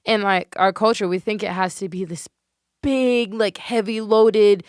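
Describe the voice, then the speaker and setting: A woman's voice: mid-range voice, measured pace, clean tone, dry recording, studio quality. A woman guest on a faith podcast, talking animatedly with lots of "like". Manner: animated, leans on "like". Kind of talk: casual faith chat. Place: podcast studio.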